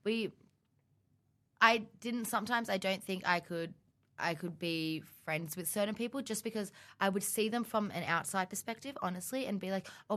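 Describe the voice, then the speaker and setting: A young woman, a student co-hosting a podcast, talking casually in a giggly, rambling way. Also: on the low side. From Australia. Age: young adult. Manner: giggly, rambling, animated storytelling. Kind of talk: casual chat.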